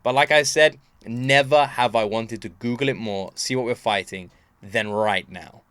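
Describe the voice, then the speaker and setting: A man's voice: medium pitch, normal speed, a bit bright, dry recording. A man hosting a casual reaction video, rambling expressively and repeating himself for emphasis. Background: game soundtrack.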